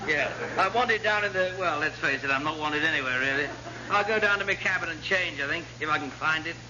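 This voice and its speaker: A man plays a bumbling, amiable naval commander from radio comedy. The high, posh English voice rambles absent-mindedly with chuckles, over a muffled and noisy vintage recording. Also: a little fast, lightly acted. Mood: rambling. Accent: upper-class English. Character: amiable, bumbling, tipsy-sounding accident-prone posh male commander. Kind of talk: classic British radio sitcom acting.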